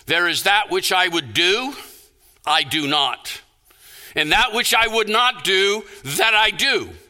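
High, forceful male preacher, slow and deliberate. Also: expressive. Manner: emphatic, deliberate delivery with repeated phrases. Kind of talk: sermon with scripture quotations and rhetorical questions.

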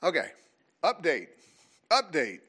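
A man leading a seminar before a live audience, talking in an animated, teasing way and calling on listeners. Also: a little high, slow pace, husky. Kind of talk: lively creationist talk with audience.

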